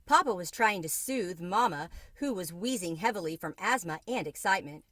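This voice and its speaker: A woman reading a children's book aloud in an expressive narrating style. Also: fairly low pitch, normal speed, no echo. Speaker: storybook reader.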